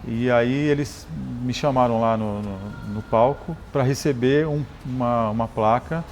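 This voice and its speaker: A Brazilian man, a motorcycle engineer and rally champion, telling stories in a relaxed interview, often restarting words and adding "né?". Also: mid-range voice, a little slow, a little hiss or hum. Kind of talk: conversational interview storytelling.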